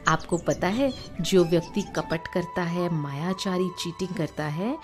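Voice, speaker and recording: A woman's voice: fairly low pitch, measured pace. A woman with an Indian accent telling moral stories to children in an explanatory, teacherly way. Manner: explanatory, asks the listeners questions and glosses terms. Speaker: storyteller for children. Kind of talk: animated moral storytelling for children. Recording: music behind the voice. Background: music.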